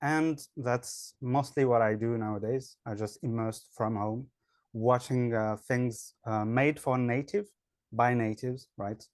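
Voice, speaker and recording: A man's voice: mid-range voice, steady tone, measured pace, no room sound, studio quality. A man with a French accent, an online English teacher, answering interview questions in a hesitant way with many fillers. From France.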